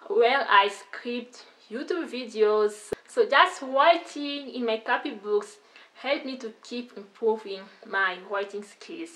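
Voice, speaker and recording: A woman's voice: on the high side, a bit bright, low-quality recording. A young woman who learned English after French schooling speaks with a French-influenced accent in casual talk to camera, upbeat and smiling, often turning to her viewers with "you know, guys.". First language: not English; schooled in French. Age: young adult. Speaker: YouTuber giving language tips. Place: indoors against a plain wall.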